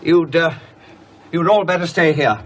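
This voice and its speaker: An actor playing a tense, brusque doctor in a radio horror drama. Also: male voice, unhurried. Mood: alarmed, brisk. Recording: noisy rumbling background.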